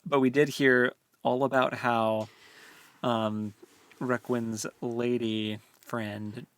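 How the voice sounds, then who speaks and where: A man co-hosting a book discussion podcast, chatting casually and thinking aloud in a halting, colloquial way. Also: mid-range voice, level tone, fairly bright tone, clear, resonant tone. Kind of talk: casual chat about a novel.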